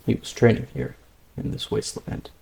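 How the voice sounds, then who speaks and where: An actor playing a calm, independent young tribesman, a stubborn younger brother, in a dramatic manga dub with an earnest, measured manner. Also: close to an everyday voice. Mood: intrigued, calm.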